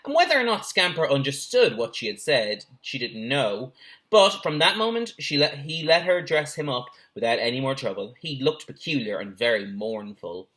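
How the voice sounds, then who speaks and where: A man's voice: medium pitch, very animated, moderate pace, bright, forceful tone. A man, a teacher, reading a children's story aloud expressively with brief asides.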